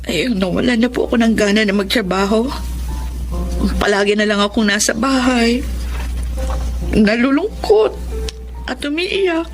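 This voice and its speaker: A woman's voice: on the high side, a little slow, long pauses, close to an everyday voice. An actress with a Filipino accent playing a grieving, helpless young woman in an audio drama. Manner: sorrowful, helpless. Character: grieving young woman pleading for help over lost love. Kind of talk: Filipino radio-style drama acting. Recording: music behind the voice.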